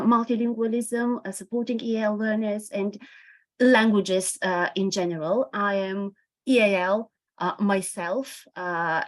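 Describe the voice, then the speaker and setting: A woman in her forties from Bulgaria with a Bulgarian accent, presenting an online teaching webinar with frequent hesitations and fillers. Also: medium pitch. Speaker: EAL specialist presenting a webinar.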